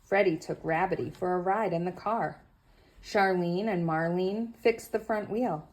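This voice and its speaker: A woman reading a children's picture book aloud in an expressive, deliberate storytelling manner. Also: lowish voice, low-quality recording. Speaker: story reader and host. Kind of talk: scripted read-aloud narration for children.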